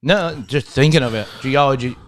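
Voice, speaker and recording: A man's voice: medium pitch, normal speed. A man co-hosting a casual podcast chat, mostly giving short agreeing replies and dry quips. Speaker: podcast co-host.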